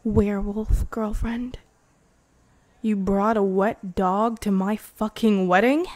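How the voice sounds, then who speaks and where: A woman voicing a possessive, menacing young vampire bride in an intimate, teasing ASMR roleplay, breathy and often whispering. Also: medium pitch, steady tone, slow, a little mellow, no room sound, close to an everyday voice. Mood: irritated, jealous. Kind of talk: ASMR girlfriend roleplay, improvised.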